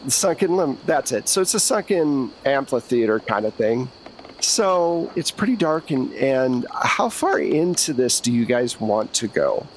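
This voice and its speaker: Male game master and podcast host, conversational storyteller, average pitch, slight background noise. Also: very animated, measured pace. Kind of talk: narrating a tabletop horror roleplay podcast.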